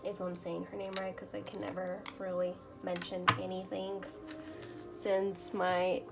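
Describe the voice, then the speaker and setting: A woman whose first language is Spanish, doing a tarot reading at a table and murmuring softly as she shuffles and reads the cards aloud. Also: on the low side, level tone, a little slow, vocal fry, loud music behind the voice. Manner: soft-spoken, murmuring while shuffling, reading card text aloud. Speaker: tarot reader. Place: indoors at a table.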